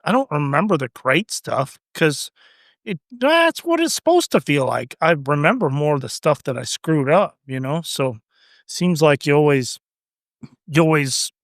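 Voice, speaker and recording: A man co-hosting a casual interview, speaking frankly with an animated delivery. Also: fairly high pitch, measured pace, no echo, clean studio sound.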